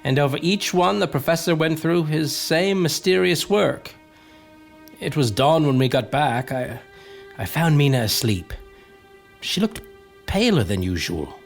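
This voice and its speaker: An actress playing a grim, weary vampire hunter, halting and somber, in a horror audio drama. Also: female voice, low voice, expressive, vocal fry, faint music behind, heavily acted.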